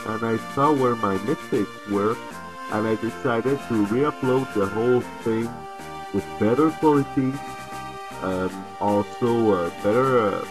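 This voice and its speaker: A man's voice: medium pitch, low-quality recording. A native French speaker, a man with a French accent, gives casual, hesitant game commentary. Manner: hesitant, halting delivery. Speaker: let's play host. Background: video game music.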